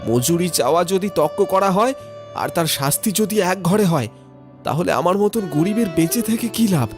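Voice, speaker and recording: A man acts the sorrowful ghost of a poor village man in a horror cartoon. The voice sits a bit high and pleads earnestly, protective and plaintive. Music plays clearly behind it. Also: expressive, moderate pace. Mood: desperate. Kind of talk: dramatic cartoon voice-over.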